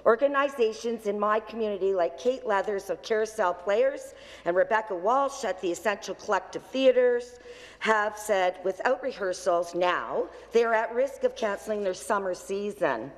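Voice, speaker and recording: A woman reading a measured question from notes as an opposition member in a large legislative chamber, on a desk microphone. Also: medium pitch, expressive, long reverb tail, low-quality recording.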